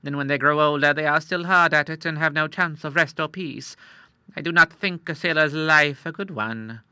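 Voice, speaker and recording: A man voicing a shrewd middle-aged moneylender who talks in a slow, measured, coolly persuasive way. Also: fairly high pitch, bright, forceful tone, smooth, dry recording, studio quality, voice pushed up.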